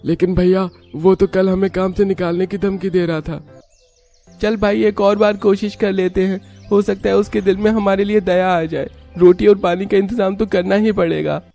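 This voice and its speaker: A man voicing an earnest, excitable poor village brother in a cartoon story, emphatic and simple. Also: sits high, normal speed, vocal fry, soft background music, pitched above the performer's own voice. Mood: worried, urging. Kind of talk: Hindi cartoon story acting.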